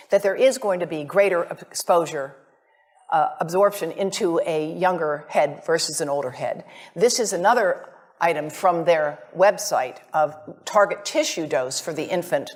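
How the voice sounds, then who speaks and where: Female academic lecturer, American, slightly low register, deliberate and emphatic, addresses the listeners directly. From United States. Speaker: visiting scientist giving a lecture. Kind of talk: academic presentation to an audience.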